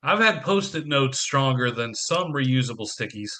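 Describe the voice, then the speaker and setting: An actor playing a wry investor and young father in an audio play, brisk, wisecracking and in charge. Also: mid-range voice, lively intonation, bright, forceful tone. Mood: wry, businesslike.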